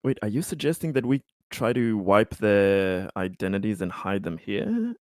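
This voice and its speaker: A man in a casual tabletop game discussion, speaking haltingly and repeating his words. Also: on the low side, sing-song, darkish tone, dry recording, clean studio sound. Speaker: tabletop roleplaying game player.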